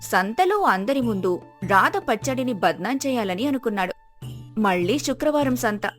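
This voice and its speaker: A woman's voice: a little high, animated, normal speed, soft background music. A woman narrating a scripted moral story as a storyteller.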